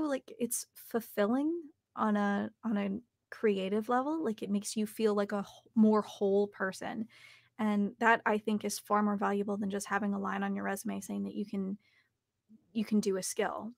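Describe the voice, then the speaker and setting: A young adult woman speaking thoughtfully and haltingly as she answers interview questions. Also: medium pitch, vocal fry, no room sound, clean studio sound. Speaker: actor and audiobook narrator, guest. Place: home recording studio, video call.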